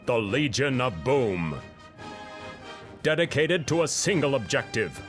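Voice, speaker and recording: A man's voice: mid-range voice, a bit bright. Man doing a bombastic superhero announcer over music. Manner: bombastic, portentous. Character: bombastic male superhero cartoon announcer in spoof ad. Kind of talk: mock-epic ad narration.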